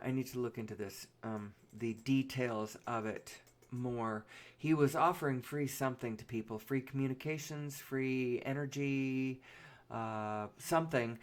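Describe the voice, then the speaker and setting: Hoarse raspy woman clearing her throat. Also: very deep voice. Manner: halting, frequent throat-clearing and coughs. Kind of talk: solo spoken monologue.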